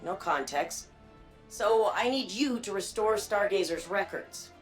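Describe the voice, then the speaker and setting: An actress voicing a spirited, nerdy young woman archivist in a video game, quick and chatty. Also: medium pitch, animated, faint music behind, close to an everyday voice. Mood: playful. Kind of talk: video game dialogue and narration.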